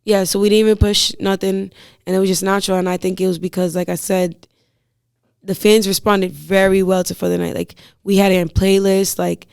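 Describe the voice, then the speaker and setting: A woman rapper with a raspy voice, talking casually and with lots of slang in an interview. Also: mid-range voice, flat delivery, fairly quick, a little mellow, no echo, clean studio sound. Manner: slangy, rambling, laughs often. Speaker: rapper guest.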